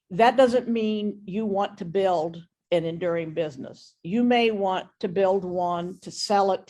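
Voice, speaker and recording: A woman with a mid-pitched voice talks frankly as a guest business expert. She states her opinions openly in a conversational style, speaking in an online meeting. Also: a little mellow.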